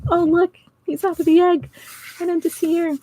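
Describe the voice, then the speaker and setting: A middle-aged or older woman reading a storybook to children, expressive and giggly, pausing to chat with her listeners. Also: very high-pitched, a little hiss or hum, low-quality recording. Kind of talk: storytime read-aloud for children. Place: small indoor home office.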